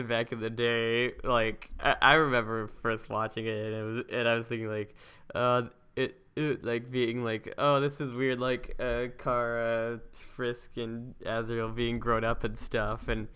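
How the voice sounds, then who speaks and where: A man hosting a casual podcast interview, speaking in a halting, stammering, rambling way. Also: mid-range voice, flat delivery, bright, forceful tone, clean tone. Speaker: podcast host.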